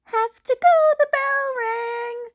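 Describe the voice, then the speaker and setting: A woman's voice: very high-pitched, slow, voice pushed up. A woman voicing a cheerful teenage boy with a perky, whiny manner in a fan fiction reading. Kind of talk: fan fiction character acting.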